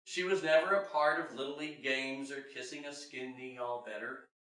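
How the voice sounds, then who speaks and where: A man's voice: mid-range voice, fairly even intonation, normal speed, fairly bright tone. A man telling a children's story in rhyming verse, with a theatrical, sing-song delivery. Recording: very echoey room, distant mic.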